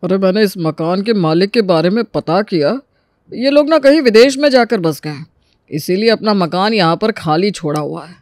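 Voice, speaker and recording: Guy doing a worried old babuji, cartoon story, high voice for a man, grumbly, very dry recording. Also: expressive, moderate pace, dry recording. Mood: matter-of-fact. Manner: wary, grumbling. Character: cautious, worried elderly father of the family. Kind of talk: Hindi animated story dialogue.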